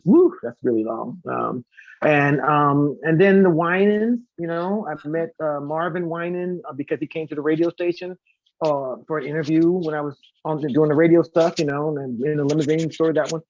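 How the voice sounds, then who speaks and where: An American man, an interview guest and singer, telling casual anecdotes in an animated way and acting out the dialogue. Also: on the high side, moderate pace. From St. Louis, United States. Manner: animated, acts out quoted dialogue. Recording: echoey, dull lo-fi audio.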